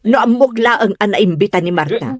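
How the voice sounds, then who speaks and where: A woman's voice: medium pitch, lively intonation, normal speed, lightly acted. A woman with an Ilocano Filipino accent acting a grumpy, easily offended village woman in an audio comedy-drama. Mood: sulky.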